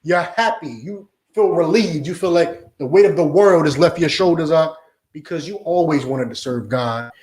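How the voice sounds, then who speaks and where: A man's voice: on the high side, moderate pace. Preaching man in an echoey room. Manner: forceful, repeats "you understand?". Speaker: religious teacher. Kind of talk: preaching lesson to a class.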